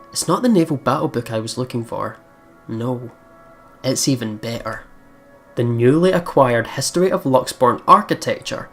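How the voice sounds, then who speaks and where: A man's voice: medium pitch, fairly bright tone. A man reading a visual novel aloud and reacting in a playful, chatty way, often repeating words. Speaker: let's player reading and reacting. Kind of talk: playful let's play commentary and read-aloud narration. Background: game music.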